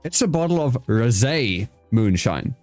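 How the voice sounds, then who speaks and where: A man's voice: mid-range voice, very animated, a little slow, darkish tone, no echo, soft background music. A man in his twenties from South Africa, an Afrikaans speaker, hosting a casual interview and telling anecdotes with casual swearing. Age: 20s. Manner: swears casually, tells anecdotes with playful sung asides. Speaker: host.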